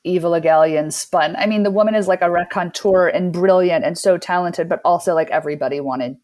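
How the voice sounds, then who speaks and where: A woman's voice: fairly low pitch, a little fast, a little mellow. A woman co-hosting a casual chat, speaking in an effusive, informal way. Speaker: podcast co-host.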